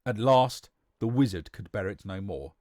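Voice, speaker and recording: Male storybook narrator, theatrical delivery, very lively pitch movement, dry close recording. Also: normal speed, dry recording. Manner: theatrical, emphatic.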